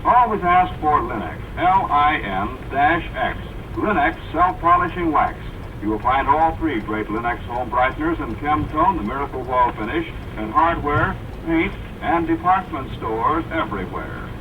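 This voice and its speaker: A man's voice: fairly high pitch, expressive, a little slow. Male radio announcer, 1940s ad read, salesmanlike, tinny, distant, noisy. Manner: polished, salesmanlike. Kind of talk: 1940s scripted radio advertisement. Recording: tinny distant old radio, vehicle noise.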